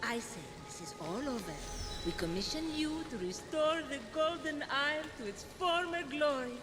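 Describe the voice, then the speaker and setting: Haughty, high-voiced giant goddess. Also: female voice, lively intonation, moderate pace. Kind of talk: comic video game cutscene acting. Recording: reverberant, with loud music.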